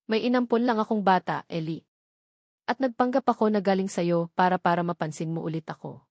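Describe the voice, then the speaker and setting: A woman's voice: on the low side, brisk, a little mellow, no echo, clean studio sound. A woman voicing a desperate, lovesick woman in a romance story, pleading and apologetic. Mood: remorseful.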